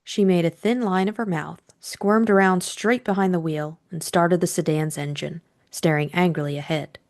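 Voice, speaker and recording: A woman's voice: lowish voice, steady tone, normal speed. A woman narrating a classic detective novel and discussing it as co-host. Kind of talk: scripted story narration and book discussion.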